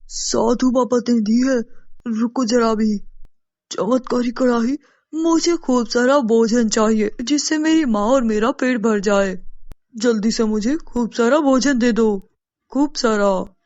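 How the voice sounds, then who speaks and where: An eager young boy from a poor village household voiced by a woman, in storybook style. The voice is rather high and unhurried, recorded dry and slightly dull. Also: darkish tone, dry recording. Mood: commanding. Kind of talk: storybook character acting.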